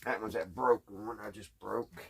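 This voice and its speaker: A man chatting casually in a halting, puzzled, folksy way, thinking out loud as he tinkers. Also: lowish voice, creaky, slightly rough. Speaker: host of a radio repair hobby channel. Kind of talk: casual hands-on repair chat, thinking out loud.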